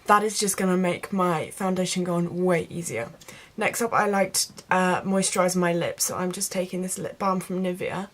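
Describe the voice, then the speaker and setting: A woman's voice: on the low side, fairly even intonation, moderate pace, hardly any pauses, a bit bright. A woman chatting casually as a beauty vlogger, explaining things step by step to her viewers in an informal tutorial style. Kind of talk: casual makeup tutorial chat.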